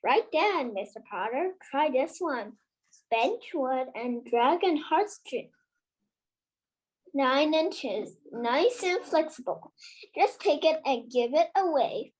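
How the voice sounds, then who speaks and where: A child voicing an eccentric old wandmaker from a storybook, precise, formal and stern, with halting delivery. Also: high voice, lively intonation, rough recording. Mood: absorbed, exacting.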